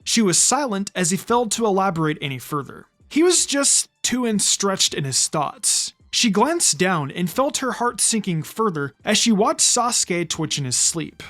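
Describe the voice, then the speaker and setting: Expressive fan fiction narrator with lively pitch. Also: normal speed, hardly any pauses, soft background music. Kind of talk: scripted narration, audiobook-style story reading.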